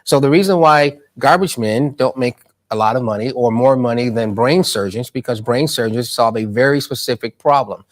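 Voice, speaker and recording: A man talks about money as an interview guest, in an emphatic and motivational way. He has a preacher-like cadence and often throws in rhetorical questions. His voice sits in a middling pitch. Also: moderate pace.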